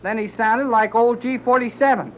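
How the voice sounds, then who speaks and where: An actor playing an eager, excitable young male sidekick with folksy talk, in 1930s radio acting. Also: very high voice, moderate pace, a little hiss or hum. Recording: dull lo-fi 1930s radio recording.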